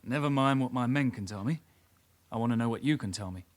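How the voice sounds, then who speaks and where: A man's voice: mid-range voice, quick pace, lightly acted. An actor playing an insistent detective constable in a radio drama, probing and condescending as he questions a suspect. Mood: stern, probing.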